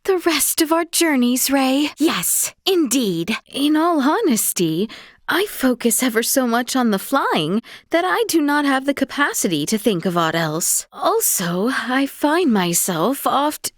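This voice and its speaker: A refined young princess from a video game, with a fairly high voice that moves in very animated swings. She is courteous, earnest and gently curious, choosing formal old-fashioned words with care. The sound is dry and close. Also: no echo, full character voice. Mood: shy, anxious. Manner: formal, archaic courtly phrasing, measured and polite. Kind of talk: video game voice acting, scripted dialogue.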